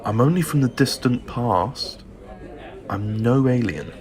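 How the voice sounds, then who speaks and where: Man as a stammering time-lost hero, slow, swinging pitch. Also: animated, darkish tone, natural voice. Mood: flustered. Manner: stammering, flustered. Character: nervous young man from the distant past, awkward and flustered.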